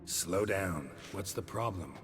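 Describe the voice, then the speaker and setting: A terse monster hunter with a very low, gruff voice. He speaks curtly and dryly over clear game music. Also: expressive, takes it slowly. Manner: gruff, curt, dry. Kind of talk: video game voice acting.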